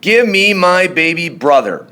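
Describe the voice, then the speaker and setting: A man acts out a stubborn little storybook girl, indignant and emphatic. The voice is raised high and bright, with each declaration pushed out firmly. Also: big pitch swings, unhurried, heavily acted. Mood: eager, bossy.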